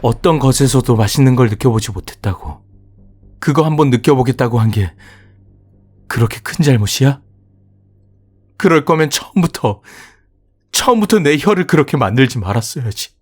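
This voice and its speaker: A man's voice: medium pitch, expressive, normal speed, long pauses, darkish tone, husky, soft background music, voice pushed up. A man playing a frightened, indignant young man who protests against a curse in a horror audiobook. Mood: despairing. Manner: protesting, exasperated outbursts.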